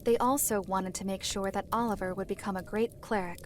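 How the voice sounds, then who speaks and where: An actress playing a formal, matter-of-fact storyteller who recounts a dark fantasy history in a measured way. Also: female voice, mid-range voice, fairly even intonation, slight background noise, lightly acted. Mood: grave narration. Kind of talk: scripted fantasy narration. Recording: faint music behind the voice. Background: music.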